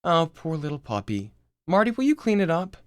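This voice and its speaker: A man's voice: on the high side, big pitch swings, a little fast, clean tone, no echo, studio quality, pitched above the performer's own voice. Man putting on a doting, smothering mom. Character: sweetly doting, smothering mother of a teenager.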